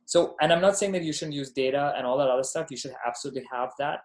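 A man's voice: on the high side, fast. Earnest man chatting as podcast guest. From born in Bahrain, grew up in Dubai. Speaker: podcast guest, company founder. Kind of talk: casual conversational interview.

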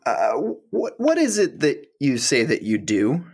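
An actor playing a shy, awkward young map conservator in a romantic comedy audio play, stammering and rambling nervously. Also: male voice, medium pitch, wildly swooping pitch, slow pace, breathy edge, exaggerated voice. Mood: awkward, flustered. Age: young adult.

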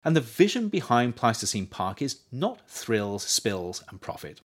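A man reading his own nonfiction book aloud as an audiobook, in a measured, expressive way. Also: on the low side. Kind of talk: audiobook narration.

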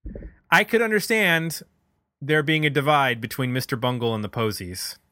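A man's voice: medium pitch, moderate pace, bright, forceful tone, no echo, studio quality. A man hosting a music podcast, chatting casually as he reviews albums.

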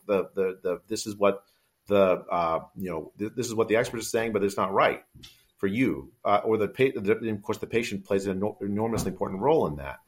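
A middle-aged man hosting a podcast interview, talking in a halting way with many restarts. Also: on the low side. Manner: halting, full of restarts and fillers. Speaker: podcast host, professor. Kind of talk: conversational interview.

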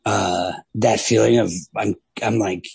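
A man talks books casually as a podcast co-host. His voice is creaky and rough-edged, and he swears freely in an animated manner. The recording is close and dry. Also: on the low side, a little slow, no room sound. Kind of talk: casual literary discussion.

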